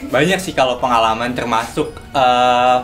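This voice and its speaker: A man's voice: medium pitch, normal speed, fairly bright tone. A man chatting casually in colloquial slang as a producer in an interview. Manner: informal, colloquial Jakarta slang. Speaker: audio drama producer and writer. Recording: music playing behind the voice.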